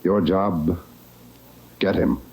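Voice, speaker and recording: An actor as a stern 1940s radio announcer, speaking in punchy, urgent short sentences. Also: male voice, sits low, fairly even intonation, drawn-out words, a loud crowd, full character voice, low-quality recording. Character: stern male radio announcer addressing listener as detective. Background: loud dramatic music.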